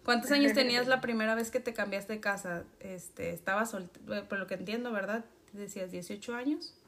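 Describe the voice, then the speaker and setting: A woman with a Mexican accent hosts a casual interview. Her voice sits at a middle pitch, and she asks things in an informal, chatty style. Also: fairly quick, a crowd in the background. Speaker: interview host.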